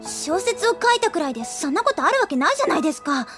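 A woman's voice: very high voice, big pitch swings, fast, fairly bright tone, soft background music, heavily acted. An actress playing a sincere, concerned teenage schoolgirl, speaking earnestly in an anime audio drama. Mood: concerned, protesting.

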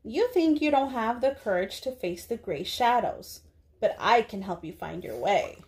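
A young woman, a preschool teacher, speaking to small children in a deliberate read-aloud style with drawn-out words. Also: on the high side, sing-song, darkish tone. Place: small indoor room.

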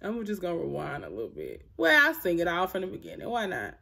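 A young woman with a deep alto voice practicing a song in her bedroom, singing along to a track and chatting to her viewers between lines. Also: wildly swooping pitch, quick pace, vocal fry, pushed and bright. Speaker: vlogger learning a song. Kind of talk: casual singing practice vlog. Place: small bedroom indoors. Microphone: phone camera held close.

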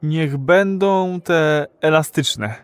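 A timid, awkward client in a radio play. His voice is high for a man and he talks slowly, groping naively for each word. The sound is dry and close. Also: clear, resonant tone, no room sound, faint music behind. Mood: embarrassed, hesitant. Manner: hesitant, fumbling for words. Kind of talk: Polish audio drama acting.

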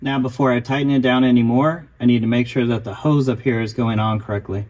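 Guy talking thru a car repair, calm plain explaining, mid-pitched voice. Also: fast, dull audio, slight background noise. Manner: calm, matter-of-fact explaining. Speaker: host of a car restoration channel. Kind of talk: voice-over narration of a repair.

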